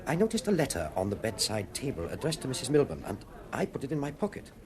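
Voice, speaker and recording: An actor playing a formal, deferential and anxious hotel manager in a radio drama. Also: male voice, medium pitch, wildly swooping pitch, quick pace, a crowd in the background. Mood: discreetly perturbed. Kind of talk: British radio drama acting.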